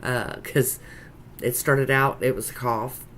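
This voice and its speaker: A congested woman with a croaky voice and a Southern US accent, chatting casually in a folksy, rambling way as she narrates a nail art tutorial. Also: very deep voice, steady tone, slow, fairly bright tone. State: congested with a sinus infection, sore throat. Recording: noticeable background noise.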